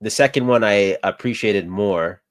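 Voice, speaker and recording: A man co-hosting a casual chat, relaxed and rambling, laughing as he talks. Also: on the low side, normal speed, dry recording. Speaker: co-host.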